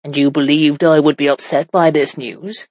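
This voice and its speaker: A woman voicing a commanding, protective medieval warrior husband in a romance audiobook, firm, measured and often exasperated. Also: sits low, steady tone, darkish tone, no echo, dull audio. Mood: calm, amused.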